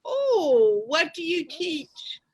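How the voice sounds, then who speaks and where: A woman hosting a show, asking encouraging questions in a deliberate way on a video call. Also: extremely high pitch, sing-song, a little mellow, lo-fi sound. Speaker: host.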